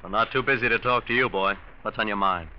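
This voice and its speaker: Casting: man as smooth-lying, nervy nephew for vintage radio drama, lowish voice, muffled old recording. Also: fast, slight background noise, close to an everyday voice. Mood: breezy. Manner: glib, stammering when cornered. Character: scheming young nephew, smooth, nervy liar in debt. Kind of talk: 1940s-50s radio drama acting.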